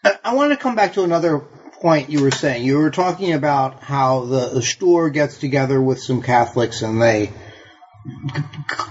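A man's voice: medium pitch, expressive, moderate pace, highs cut off, a little hiss or hum. A man interviewing a scholar, thinking aloud in a tentative, roundabout way as he builds his questions. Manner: tentative, thoughtful, roundabout phrasing. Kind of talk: reflective academic interview questioning.

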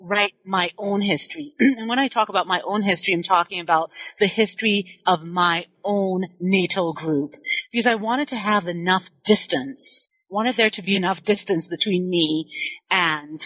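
A woman historian in her forties, born in Nigeria and a native Igbo speaker, tells her story in a measured, thoughtful way. She corrects herself now and then as she goes. Her voice sits in the middle range and sounds close and dry, with no room around it. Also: mid-range voice, a bit bright, husky, dry recording, poor audio. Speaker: historian and author. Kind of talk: interview, personal account.

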